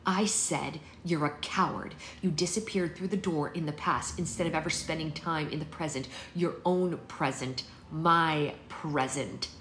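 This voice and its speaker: A woman's voice: fairly low pitch, expressive, moderate pace, hardly any pauses, lightly acted. A woman voicing a hot-tempered, wounded grown daughter with a blunt, sweary, emphatic manner. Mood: furious. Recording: roomy, faint background noise.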